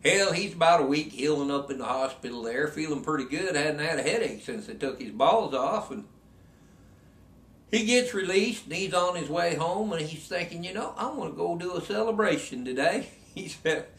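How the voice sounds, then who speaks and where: A man telling a drawn-out joke in a drawling, folksy way. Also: on the high side, lively intonation, fairly bright tone. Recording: roomy echo.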